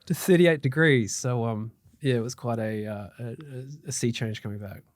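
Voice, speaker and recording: A man's voice: medium pitch, normal speed, no echo, studio quality. Upbeat middle-aged New Zealand man. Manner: chatty and upbeat, loose with fillers and restarts. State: slight throat tickle. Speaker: guest mortgage broker. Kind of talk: casual podcast interview.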